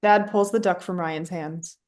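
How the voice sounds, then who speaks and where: A woman's voice: lowish voice, steady tone, unhurried, a little mellow. A woman reading scripted stage directions as narrator for a play reading.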